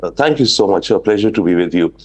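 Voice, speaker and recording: A man's voice: deep voice, expressive, fast, breathy edge. Indian man explaining as a news pundit. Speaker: international affairs expert guest. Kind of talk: live news analysis.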